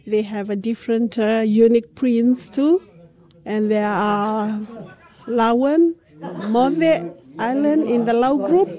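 A woman's voice: on the high side, slow, mellow. A woman craft maker with a Fijian accent, answering in an interview in simple phrases that she often repeats. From Fiji.

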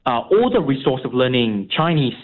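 A young man whose first language is Vietnamese, a radio interview guest speaking in a halting way with many fillers and restarts. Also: mid-range voice, very animated. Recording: tinny phone line.

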